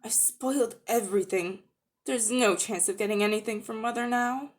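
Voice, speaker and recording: A woman's voice: fairly high pitch, steady tone, moderate pace, close to an everyday voice. A woman voicing an earnest, guilty young boy who speaks hesitantly, politely and pleadingly. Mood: guilty, wretched.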